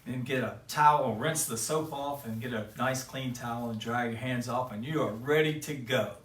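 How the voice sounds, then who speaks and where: A man's voice: medium pitch, moderate pace, a bit bright, recorded from a distance. A man hosting a children's show, talking cheerfully straight to kids and then reading a picture book aloud in a sing-song way. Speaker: children's storyteller and host. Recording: echoey room, away from the mic.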